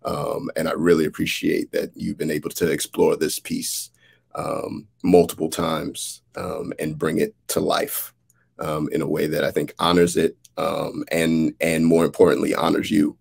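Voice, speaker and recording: A man in his thirties talking in a halting, deliberate and sincere way, in a casual conversation over a video call. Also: a bass voice, completely flat delivery, vocal fry, a little mellow, breathy edge. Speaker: playwright, interviewer. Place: indoor room with dark backdrop, video call. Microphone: video call, seated back from camera.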